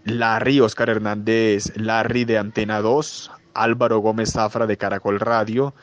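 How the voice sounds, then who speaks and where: A man from Colombia hosting an interview, asking measured, formal questions. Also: fairly low pitch, flat delivery, dry recording, lo-fi sound. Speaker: interviewer host.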